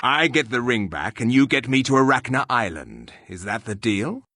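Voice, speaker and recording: An actor playing a stern, sardonic revenant warrior in a fantasy game, raspy, with stiff and formal speech. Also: male voice, mid-range voice, sing-song, normal speed, sharp tone, no room sound, exaggerated voice. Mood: stern, terse. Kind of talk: fantasy game character acting.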